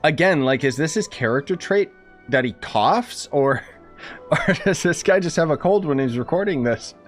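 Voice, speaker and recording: A man's voice: a little high, animated, normal speed, faint music behind. A young man giving casual, reactive gaming commentary in a slangy, joking, mocking manner. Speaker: gaming streamer.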